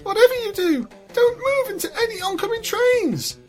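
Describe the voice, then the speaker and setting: A woman voicing an excitable, distraught pink cartoon mare in a dramatic story, wailing and pleading. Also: very high-pitched, moderate pace, faint music behind, voice pushed up. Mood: panicked, pleading.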